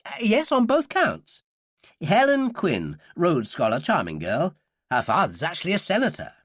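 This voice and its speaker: Audiobook role: man as earnest, hesitant male professor, high-pitched and wildly animated, stammers when uneasy. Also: normal speed, dry recording, clean studio sound, heavily acted. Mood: eager. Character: flustered, hesitant male English professor and activist.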